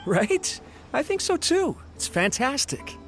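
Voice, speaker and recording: A man's voice: extremely high pitch, big pitch swings, takes it slowly, fairly bright tone, faint music behind, exaggerated voice. A man playing a friendly, polite and eager young servant in a video game, courteous and chatty.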